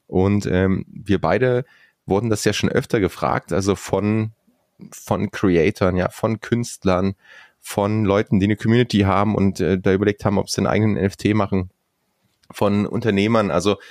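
An upbeat man hosts a casual podcast, chatting along with plenty of fillers and restarts. His voice sits on the low side and sounds dry and close, with no room around it. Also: steady tone, moderate pace, a little mellow, no echo. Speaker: podcast host.

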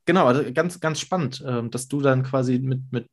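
Man, podcast host, middle pitch, upbeat, chatty, frequent fillers, casual interview. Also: a little fast.

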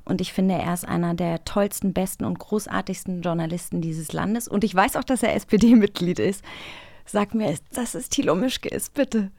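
A woman co-hosting a podcast in casual, enthusiastic, expressive chat. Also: medium pitch, moderate pace, gravelly, no echo. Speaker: podcast co-host.

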